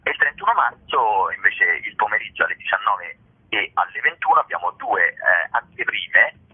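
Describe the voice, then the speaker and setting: A man's voice: lowish voice, lively intonation, normal speed, husky. A man explaining a programme as an organiser in an informal interview, hesitating and drawing out words. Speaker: theatre festival organiser and presenter. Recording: muffled lo-fi phone-like radio line.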